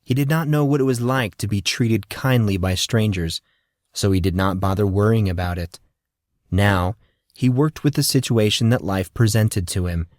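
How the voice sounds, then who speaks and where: Male audiobook narrator, low voice, dry close recording, measured delivery. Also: darkish tone, no echo. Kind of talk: scripted story narration.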